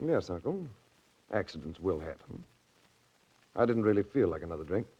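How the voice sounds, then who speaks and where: An actor playing a bored, petulant young nephew of a wealthy family in 1940s radio drama. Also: male voice, low voice, big pitch swings, normal speed, husky, heavily acted. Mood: languid, sardonic. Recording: dull vintage radio recording.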